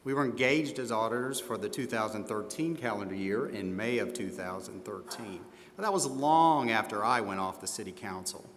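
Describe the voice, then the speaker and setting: A man's voice: lowish voice, moderate pace, close to an everyday voice. In a reverberant hall with faint noise, a man acts out an older male auditor under questioning. He answers in a confident, slightly defensive way, as in a scripted training role-play. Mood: puzzled, defensive. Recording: echoey hall, steady background room noise.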